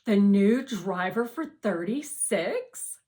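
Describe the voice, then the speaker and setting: A woman's voice: medium pitch, expressive, takes it slowly, warm tone. A woman voicing a friendly, self-assured schoolgirl in a storybook, with a casual, assured manner. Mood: nonchalant, knowing.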